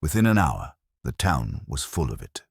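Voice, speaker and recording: A man with an extremely low voice narrates a literary mystery. His delivery is measured and wry, with plenty of melodic movement. The sound is dry and close. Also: big pitch swings, creaky, husky, dry recording. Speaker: narrator of a mystery audio drama.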